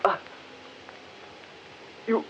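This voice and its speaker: An actor playing an excitable man spooked in a haunted forest, with halting dramatic delivery, on a muffled 1940s radio recording. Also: slight background noise. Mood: spooked, frantic.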